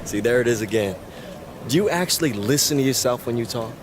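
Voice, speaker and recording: An actor voicing a cocky, sarcastic young basketball player in a video game, with an American accent and slangy delivery. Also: male voice, medium pitch, expressive, fast, noisy background, lightly acted. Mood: bitter, sarcastic. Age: young adult.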